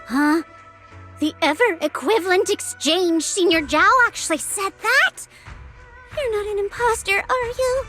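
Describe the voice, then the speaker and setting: A woman's voice: extremely high pitch, animated, a little slow, music in the background. A woman playing a playful, teasing junior colleague in an anime-style game, coy and sing-song.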